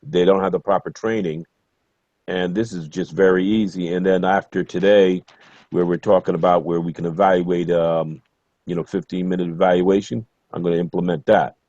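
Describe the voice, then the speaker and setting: A man with a very low voice explains himself at length, hesitant and full of fillers. The call audio is dry, close and dull. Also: steady tone, normal speed, darkish tone, no room sound. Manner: rambling, hesitant, many fillers. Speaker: webinar participant. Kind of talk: casual call-in chat.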